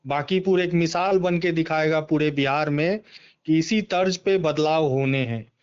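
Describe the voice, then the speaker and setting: A man's voice: a little high, normal speed, clear, resonant tone. A man giving a political interview over the phone, speaking emphatically and often repeating himself in agreement. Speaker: political party member and former election candidate, interviewee. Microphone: phone call. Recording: dull, band-limited phone call recording.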